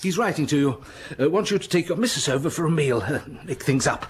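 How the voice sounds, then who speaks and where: A man's voice: on the high side, expressive, fast talker, a little hiss or hum, natural voice. A man with an English accent acting a genial, blustering older bishop in a radio drama, speaking in clipped, avuncular phrases. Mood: jovial, dismissive.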